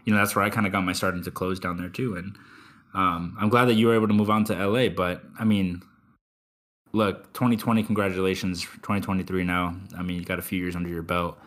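A young man hosts his podcast in a loose, laid-back way, tossing in swears and plenty of ums. His voice sits very low. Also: steady tone, quick pace, fairly bright tone. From Texas. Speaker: podcast host. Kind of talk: casual interview chat.

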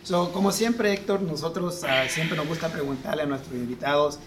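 A man hosts a conversational interview. He has a slightly higher voice for a man and talks in an encouraging way, often nodding along with short affirmations. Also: normal speed, noisy background. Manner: warm, encouraging, frequent affirmations. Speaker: host. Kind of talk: casual interview.

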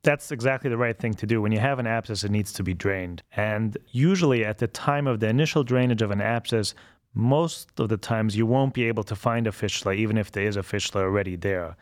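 Man, calm and methodical. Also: lowish voice, a little fast, dry recording, clean studio sound. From Austria. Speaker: colorectal surgeon guest. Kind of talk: explanatory medical interview.

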